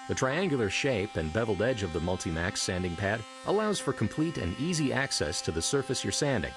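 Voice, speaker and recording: A man narrating a scripted step-by-step tool tutorial in a steady, instructive manner. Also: lowish voice.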